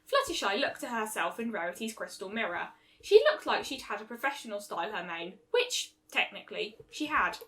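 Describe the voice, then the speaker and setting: A woman's voice: medium pitch, very animated, a little fast, a bit bright. A woman narrating a scripted piece of fan fiction as a reader.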